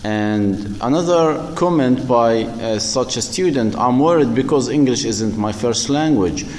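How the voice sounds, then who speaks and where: A man delivers a university lecture at a slow, measured pace. He halts often, filling gaps with repeated words. The recording carries a long, echoing reverb. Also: medium pitch, a little mellow. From Palestine. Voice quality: slow and halting, many fillers and repeated words.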